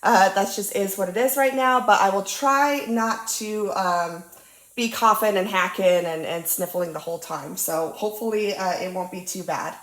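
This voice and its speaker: A young woman with a cold-stuffed, slightly nasal voice chats cheerfully, full of ums and uhs. She sounds relaxed and friendly at a crafting table. Her voice bounces off a roomy space. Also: medium pitch, moderate pace. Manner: bubbly, chatty, lots of ums and uhs. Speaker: diamond painting channel host. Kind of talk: casual crafting chat. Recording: roomy echo.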